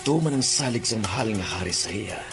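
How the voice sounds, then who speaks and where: A man with a Western Visayan Filipino accent playing a quiet schemer musing and questioning a messenger in a radio drama. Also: lowish voice, lively intonation, fast, music in the background. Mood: musing, sly.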